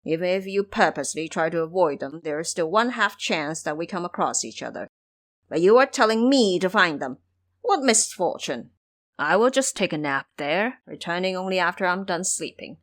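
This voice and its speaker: Woman doing lazy pig guy from a fantasy audio drama, whiny n indignant, self-pitying, pitch all over the place. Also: lively intonation, moderate pace, fairly bright tone. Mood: indignant grumbling. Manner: indignant, exclamatory whining. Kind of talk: audio drama character acting.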